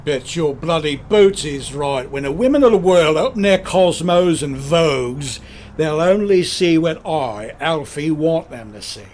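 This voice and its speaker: An actor playing a cocky, flirtatious British celebrity photographer of the 1960s in a radio drama, swaggering and slangy. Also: male voice, a little high, animated, a little slow, darkish tone, slight background noise. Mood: boastful. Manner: swaggering, slangy, smooth-talking.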